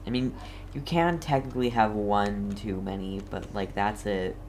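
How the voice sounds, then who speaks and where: A man's voice: fairly low pitch, fairly even intonation, normal speed, a little hiss or hum. A man giving casual gameplay commentary as a streamer, in an offhand, playfully repetitive mutter. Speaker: game streamer.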